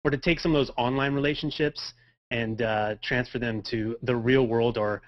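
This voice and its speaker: A man's voice: medium pitch, steady tone, moderate pace. A man in his thirties answering interview questions casually as a podcaster guest on a lo-fi video call. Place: home office on a video call. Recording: dull lo-fi video call audio.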